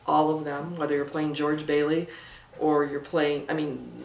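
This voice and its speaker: A woman's voice: sits low, little pitch movement, moderate pace, gravelly, a little mellow. A woman in her fifties, a theater director, chatting casually in an interview. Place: indoors in a small book-lined room. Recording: roomy, distant mic, faint noise.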